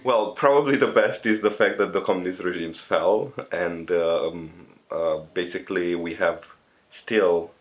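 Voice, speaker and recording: A man in his thirties from Romania, giving reflective interview answers in a thoughtful, halting way with many pauses and restarts. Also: sits low, soft background music.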